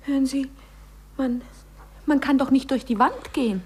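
A timid, sheltered young lady in a fifties radio play. Her voice is high and breathy. She speaks in hesitant little phrases with wide-eyed innocence. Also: moderate pace, darkish tone. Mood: timid, astonished. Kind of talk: 1950s German radio play acting.

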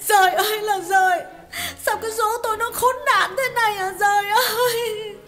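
A man voicing an enraged, betrayed young wife who scolds shrilly and cries as she shouts. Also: extremely high pitch, steady tone, normal speed, faint music behind, pitched above the performer's own voice. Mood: wailing. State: crying.

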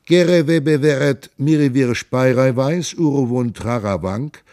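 Guy reading as a shabby wandering stranger, pleading, slow and drawn out, raised voice, spouts gibberish tongues. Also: medium pitch, a little mellow, no room sound, studio quality, voice pushed up, natural voice. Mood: pleading, grandiloquent. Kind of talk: audiobook reading.

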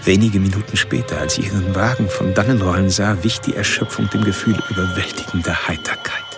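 An actor playing a cold, articulate serial killer who narrates his stalking in a radio play, introspective and precise. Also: brisk. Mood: introspective, detached. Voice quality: low, gravelly voice. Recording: music under the voice.

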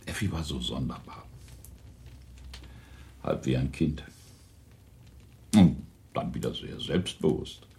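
A man's voice: extremely low pitch, vocal fry, a little mellow, roomy sound, slight background noise, exaggerated voice. An actor playing a genial, teasing older husband and father in a radio drama, musing in a wry, rambling way. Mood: worried, probing. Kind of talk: German radio drama acting.

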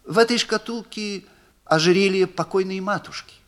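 An older man voicing a shy, flustered young man in a story reading, halting and stammering with feigned casualness. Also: sits high, a bit bright, pitched above the performer's own voice. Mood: flustered, evasive.

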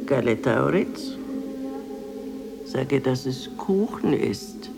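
A man's voice: mid-range voice, animated, slow pace, gravelly, low-quality recording. An actor playing a blunt, demanding man in a radio drama, speaking in terse commands. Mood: insistent. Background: music.

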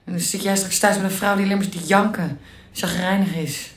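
An actress playing a young mother with cancer who talks quietly and wearily in a radio play. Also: mid-range voice, steady tone, a little fast, echoey room, natural voice. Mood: sad. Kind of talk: Dutch radio drama.

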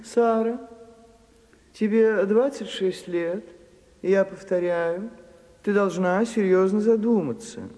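A man's voice: sits high, takes it slowly, pauses often, warm tone. An actor in a radio play, playing a reluctant, deferential male secretary who protests meekly and fussily. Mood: dutiful. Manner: fussy, hesitant, meekly protesting. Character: reluctant, put-upon, deferential male secretary to a grand madame. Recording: reverberant radio drama recording.

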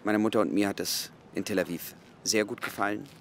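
An actor playing an earnest secret agent in his thirties in a radio drama, explaining himself with measured insistence. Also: on the low side, steady tone, slight background noise, close to an everyday voice. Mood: earnest, confiding. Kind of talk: naturalistic radio drama acting.

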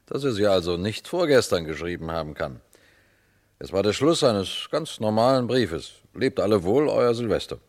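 A low male voice plays a shrewd police detective in a radio crime drama. He speaks deliberately and pointedly, unhurried as he lays out his case and turns directly to his listeners. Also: full character voice. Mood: coolly confronting. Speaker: detective and narrator. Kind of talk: German radio crime drama acting and narration.

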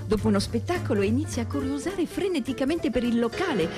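Reminiscing woman over clear music. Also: sits high, lively intonation, quick pace. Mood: fond recollection. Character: reminiscing woman, a fond witness of theatre days. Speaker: stage performer narrating theatre memories. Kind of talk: theatrical scripted narration for radio. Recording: clear music bed behind the voice.

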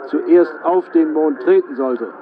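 A man's voice: fairly high pitch, unhurried, narrow, tinny audio, lightly acted. A man giving careful, intent live commentary in the style of a 1960s broadcast. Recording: band-limited old broadcast with crowd noise.

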